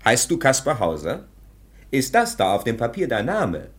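An actor playing a stern, blustering police inspector from the 19th century in a radio play, commanding and officious. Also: male voice, mid-range voice, wildly swooping pitch, normal speed. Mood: brisk, intent.

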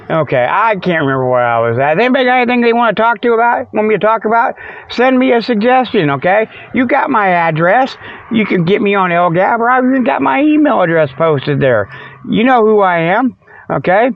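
Folksy American man rambling, slightly high voice. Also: animated, moderate pace, gravelly. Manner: folksy and meandering, with joking asides. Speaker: host of a comic Sasquatch show. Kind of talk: rambling casual monologue. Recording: dull, lo-fi radio-like sound, close and dry.

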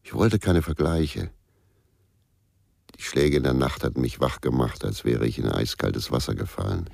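A man's voice: extremely low pitch, normal speed, vocal fry, darkish tone, no echo, studio quality, heavily acted. An actor playing a bitter, brooding abandoned husband who tells his own story tersely in a German radio crime drama. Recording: noisy, with ambient sound.

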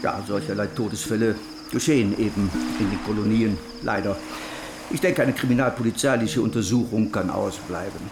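An actor playing a brisk colonial German official in a radio drama, formal, officious and matter-of-fact. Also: male voice, fairly low pitch, lightly acted. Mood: officious, dismissive. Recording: echoey room, clear background noise.

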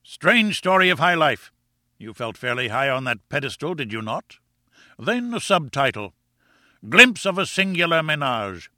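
A man voicing a huge, pompous, mocking husband in an audiobook, booming and rumbling as he blusters. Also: very animated, unhurried, sharp tone, dry recording, clean studio sound, exaggerated voice. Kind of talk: audiobook character acting.

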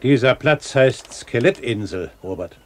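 An actor playing a self-assured, courteous pirate captain in a German radio play, speaking formally and with measured articulation. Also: male voice, mid-range voice, animated, a little mellow, natural voice. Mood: calm, authoritative. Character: self-assured male pirate captain, commanding yet courteous great-uncle. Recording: 1970s radio recording, faint hiss.

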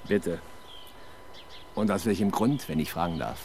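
A man's voice: sits low, lively intonation, a little slow, vocal fry, a little mellow, full character voice. An actor playing a sardonic, exasperated male police detective in a 1970s German radio crime drama, with dry, sarcastic delivery. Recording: 1970s radio production with faint background noise.

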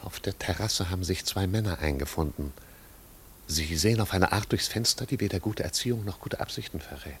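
An actor playing a pedantic, long-serving older butler in a 1950s radio play, speaking in stilted, pompous, formal phrases. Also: male voice, sits low, a little fast, slight background noise, natural voice. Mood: dry, deferential.

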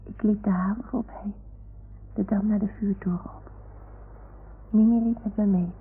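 Woman playing an earnest little boy, creaky, old radio. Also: mid-range voice, steady tone, normal speed, lightly acted. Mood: anxious confiding. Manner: childlike, earnest, repeating adults' words. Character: imaginative young boy talking to his toy animals. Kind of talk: 1960s Dutch radio play acting. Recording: old radio recording, phone-like band, noise.

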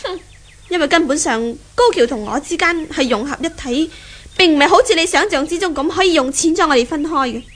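A woman's voice: high voice, a little fast, natural voice. An actress playing a proud, unyielding young woman in a Hong Kong Cantonese radio drama, speaking in an earnest, indignant way.

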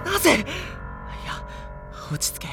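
A level-headed teenage schoolboy played by a man in an audio drama, with loud music behind him. He speaks politely to his senior, with dry, exasperated retorts, and narrates his own thoughts. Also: measured pace, close to an everyday voice. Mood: alarmed, panicked. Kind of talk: Japanese audio drama dialogue with introspective narration. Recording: loud background music under the voice.

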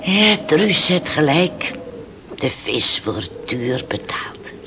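A middle-aged fishing village widow in an old radio drama, speaking slowly and haltingly. She is resigned and grave, often sinking to a hush, on a clearly noisy old recording. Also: low-pitched, very animated, heavily acted. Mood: sorrowful, hushed. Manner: slow, halting storytelling, dropping to hushed tones. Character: weathered, resigned fisherman's widow recounting losses to the sea. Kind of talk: Dutch radio play acting.

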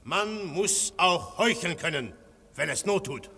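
A scornful young firebrand in a radio drama, pitched high and ringing bright. He speaks with cool, mocking confidence. The hall behind him rings with a long reverb. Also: expressive, a little slow, vocal fry, husky, heavily acted. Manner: cool, cutting, mocking oratory. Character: Syme, young undercover detective posing as a fierce anarchist. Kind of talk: radio play acting.

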